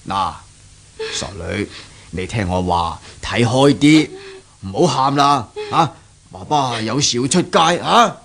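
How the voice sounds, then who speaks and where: A man's voice: mid-range voice, expressive, slow, vocal fry, fairly bright tone, heavily acted. An actor playing an indulgent, worldly middle-aged father in a Cantonese radio drama, coaxing and chuckling, with a Hong Kong accent. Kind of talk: 1970s radio drama acting. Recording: noisy old 1970s radio recording.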